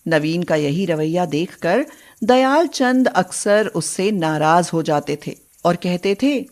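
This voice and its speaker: A woman's voice: lowish voice, expressive, takes it slowly, a little mellow. A woman reading scripted storybook narration as the narrator of a tale.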